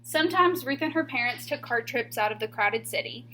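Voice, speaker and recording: A woman teacher reading a children's picture book aloud expressively, with deliberate, emphatic pauses on key words. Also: fairly high pitch, lively intonation, bright, forceful tone, slight background noise, poor audio.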